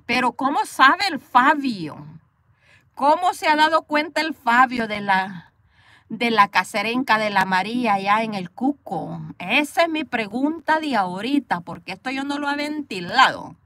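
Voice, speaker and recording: A Salvadoran woman talks back to her live commenters in a playful, chatty way, laughing often. Her voice sits high and sounds bright and forceful. She is recorded on a phone inside a parked car. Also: animated, a little slow, dry recording. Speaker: livestream host reading viewer comments. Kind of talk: casual chat with live viewers.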